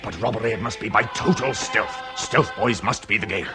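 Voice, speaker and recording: An actor playing a sly, pompous gang leader in a period comedy radio drama, speaking in slow, florid, deliberate phrases. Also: male voice, on the low side, music in the background, close to an everyday voice. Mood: conspiratorial. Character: sly criminal mastermind briefing his gang, pompous and commanding.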